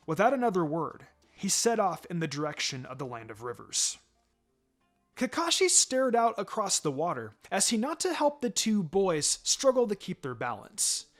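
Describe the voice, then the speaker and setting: Animated male story narrator over music. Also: fairly high pitch. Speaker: fan fiction reader. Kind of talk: scripted dramatic story narration. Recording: music under the voice. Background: background music.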